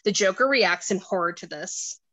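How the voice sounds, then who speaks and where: A woman's voice: mid-range voice, moderate pace, sharp tone. A woman narrating a screenplay, reading out stage directions and scene descriptions.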